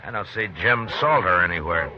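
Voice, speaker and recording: A man playing a calm, laconic frontier marshal in an old-time radio western, measured and understated. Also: deep voice. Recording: muffled, noisy old radio recording.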